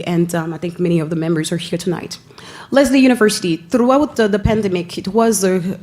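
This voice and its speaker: A woman from Haiti speaks with a Haitian accent at a school board meeting. Her delivery is earnest and halting, and she pauses often to find the right word. Her pitch sits in the ordinary middle range for a woman. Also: darkish tone. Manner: earnest, with frequent pauses and restarts. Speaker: school family engagement staff member.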